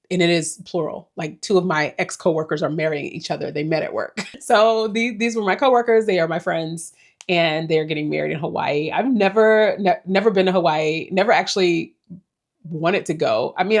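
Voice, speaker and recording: A woman in her forties giving a casual, rambling life update to her viewers, reflective and talking to them directly. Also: on the low side. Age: 40s. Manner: reflective, rambling, with small laughs. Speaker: vlogger.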